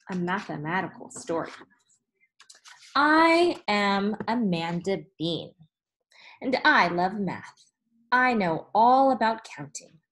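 Female teacher, thirties, medium pitch, very unhurried children's read-aloud with careful, expressive emphasis. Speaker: elementary school teacher. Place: small indoor room with whiteboard.

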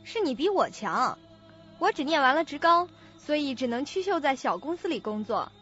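An actress playing a moody, self-deprecating young office intern in a Chinese radio drama. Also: female voice, sits high, very animated, moderate pace, fairly bright tone, faint music behind. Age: young adult. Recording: dull lo-fi recording.

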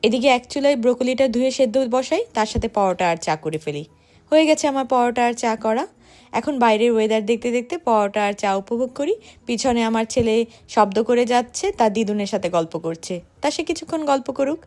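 Female vlog narrator, chatty and quick, with animated intonation. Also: a little high. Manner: chatty, addressing viewers directly. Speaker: family vlogger and narrator. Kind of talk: scripted vlog voice-over.

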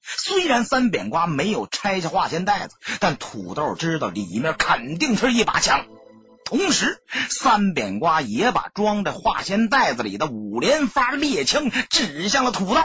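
A man's voice: high-pitched, wildly swooping pitch, measured pace, sharp tone, slightly rough. A man narrating an audiobook as a colloquial, emphatic, dramatic storyteller. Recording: dull lo-fi audio, music behind.

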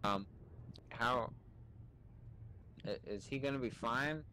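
A man's voice: medium pitch, slow, pushed and bright. A man chatting casually in an online roleplay game, with hesitant, laid-back phrasing. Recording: noisy game voice chat.

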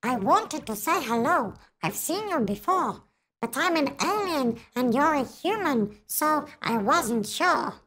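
Woman acting a young female alien, storybook character, slow, lilting, gentle, polite, friendly. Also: high voice, big pitch swings, heavily acted, rough recording. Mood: shy, friendly.

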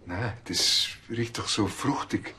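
An actor playing a quirky, earnest patrol cop with a musing manner in a TV crime comedy. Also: male voice, on the low side, takes it slowly, pushed and bright, slight background noise. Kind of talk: German TV crime comedy acting.

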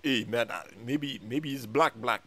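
A man's voice: medium pitch, unhurried, pushed and bright, pitched below the performer's own voice. A man voicing a blunt, mischievous and rude male sidekick in a comedy skit, with muttered slangy talk. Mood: skeptical.

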